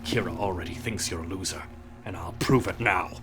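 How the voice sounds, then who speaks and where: An actor playing a cocky, menacing street racer in a video game, taunting and challenging a rival. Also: male voice, deep voice, brisk, close to an everyday voice. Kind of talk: video game cutscene acting. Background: music, vehicle.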